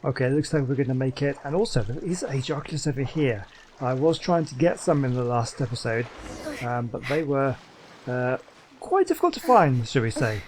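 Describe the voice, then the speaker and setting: A man's voice: mid-range voice, sing-song, moderate pace, slight background noise. A man giving casual, chatty commentary while playing a game, thinking aloud as he goes. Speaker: gaming host.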